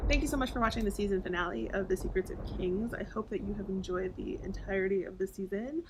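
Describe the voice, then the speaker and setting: A woman's voice: medium pitch, quick pace, noisy background. A woman narrating as an author and storyteller. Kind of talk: scripted audiobook narration.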